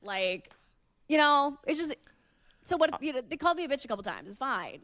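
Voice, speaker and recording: A woman's voice: sits high, very animated, brisk, a bit bright, dry recording. A woman podcast co-host talking casually, with lots of conversational "like" asides. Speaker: radio personality and podcast co-host.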